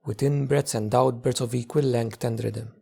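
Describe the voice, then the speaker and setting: Husky, creaky man guiding a meditation. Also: mid-range voice, steady tone. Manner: gentle, unhurried, with long pauses between phrases. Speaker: meditation teacher. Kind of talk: guided meditation, slow spoken instruction.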